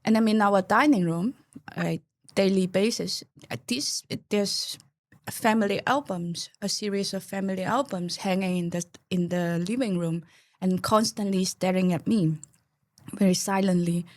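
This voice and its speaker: A woman's voice: medium pitch, fairly even intonation, normal speed, darkish tone, no room sound, clean studio sound. A woman from rural southern China with a Chinese accent, speaking reflectively as an interview guest and searching for her words. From rural Taishan, southern China. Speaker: podcast guest, artistic researcher.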